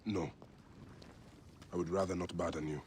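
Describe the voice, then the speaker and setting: Man acting a low-voiced, devoted warrior husband. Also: steady tone, normal speed, darkish tone, slight background noise, close to an everyday voice. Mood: guarded. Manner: earnest, heartfelt. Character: devoted, grieving Egyptian warrior husband, protector of the people. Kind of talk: dramatic video game acting.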